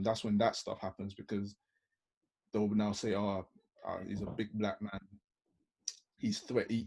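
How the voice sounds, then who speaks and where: A man on a video-call discussion speaks with a slightly husky voice. He builds his argument in numbered steps, calm and deliberate. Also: fairly low pitch. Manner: measured, lays out points in order. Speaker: panel guest. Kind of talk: reasoned panel discussion.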